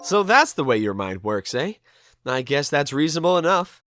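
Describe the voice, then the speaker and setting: A man playing a wry, kindly ghost detective with an expressive delivery in a game fandub. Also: on the high side, moderate pace. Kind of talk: animated game fandub acting.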